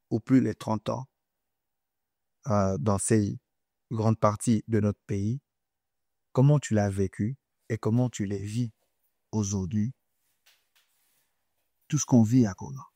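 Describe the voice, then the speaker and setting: A man interviewing a guest, asking measured, formal questions. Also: fairly low pitch, steady tone, darkish tone, dry recording, clean studio sound.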